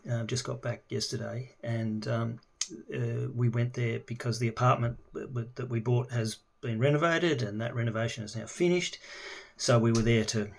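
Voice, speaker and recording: Hesitant Australian man with a gravelly voice. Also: mid-range voice. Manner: hesitant, many ums and restarts. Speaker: language learner. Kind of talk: casual self-introduction video.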